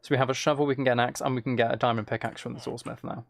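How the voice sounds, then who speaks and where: A man with a medium-pitched, gravelly edge to his voice talks himself through a video game. He speaks casually in short, muttered phrases, thinking out loud as he plays. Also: little pitch movement, racing. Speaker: streamer playing a video game. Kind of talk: casual gameplay commentary, thinking aloud.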